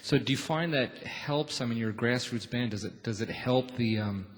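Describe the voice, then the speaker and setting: A man's voice: medium pitch, normal speed. A man hosting a live music radio show, interviewing guests on stage. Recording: echoey theatre hall, faint noise.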